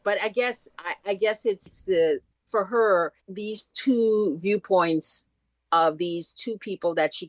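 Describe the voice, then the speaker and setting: A woman's voice: medium pitch, no echo. A woman from Trinidad and Tobago co-hosting a podcast, thinking aloud about a TV episode. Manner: reflective, thinks aloud with restarts. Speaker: podcast co-host. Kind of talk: casual rewatch recap chat.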